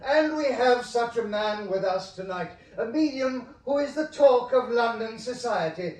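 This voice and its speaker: A man's voice: very high voice, animated, a little slow, darkish tone. An actor playing a pompous Victorian showman professor who introduces a medium with grand theatrical announcing, in a radio drama. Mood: grandiose. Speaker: master of ceremonies at a seance. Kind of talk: 1980s radio drama acting. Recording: echoey stage sound from a distance.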